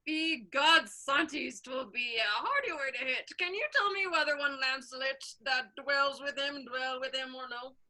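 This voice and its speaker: Old befuddled father, female performer: humble, imploring, high and piercingly bright, stage comedy delivery. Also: moderate pace, few pauses. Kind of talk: theatrical Shakespeare reading.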